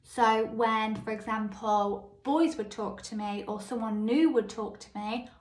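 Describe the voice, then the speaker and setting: A woman with a high, light voice hosting a solo self-help podcast. She tells personal stories and keeps checking in with the listener. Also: steady tone, a little slow. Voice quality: high, light voice that others called squeaky. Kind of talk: solo motivational podcast monologue. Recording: echoey room.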